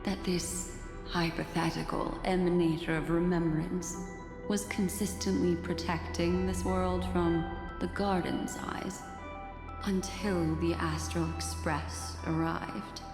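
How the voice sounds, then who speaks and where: A woman's voice: lowish voice, level tone, slow pace. A woman playing a reserved, thoughtful young traveler in a video game, speaking in a subdued, questioning way. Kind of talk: scripted video game voice acting. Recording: echoey, long reverb, music behind.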